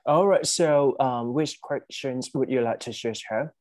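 A man teaching an online class, running interview practice with brisk, encouraging short prompts. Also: mid-range voice, darkish tone, dry recording. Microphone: video call.

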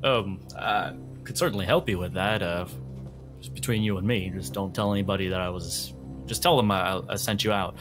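A low-pitched, hesitant ship's porter, played by a man in a tabletop game. He is helpful and informal, talking in halting, hedged phrases over faint music. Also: close to an everyday voice. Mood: helpful, confiding. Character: hesitant, helpful male ship luggage worker.

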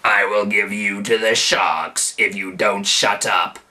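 A man voicing a huge, cold robot bull pirate chief in a low, grating, domineering voice. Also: steady tone. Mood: cold threat. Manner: slow, booming, domineering.

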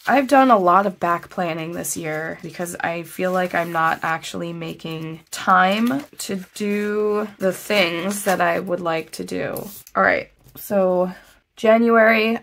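A woman vlogging as she reviews her planner, chatty and self-deprecating, thinking aloud, her voice slightly hoarse after being ill. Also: lowish voice, a little hiss or hum. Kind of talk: casual chat, plan with me.

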